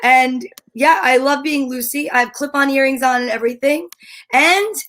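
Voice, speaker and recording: A woman's voice: sits high, normal speed, a bit bright. A woman hosting a casual live-streamed show in a chatty, enthusiastic way. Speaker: show host.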